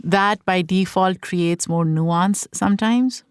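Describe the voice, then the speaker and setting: A woman hosting an interview podcast, asking probing follow-up questions. She speaks slowly, and her voice is smooth and clear. The sound is dry and close. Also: fairly low pitch, no echo. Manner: probing, prompts the guest to say more. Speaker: podcast host.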